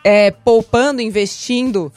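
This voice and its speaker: A playful woman hosts a radio show in a slightly high voice. She speaks with animation. Faint music sits behind her. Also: measured pace, darkish tone, no echo. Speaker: show host. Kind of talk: lively radio show hosting.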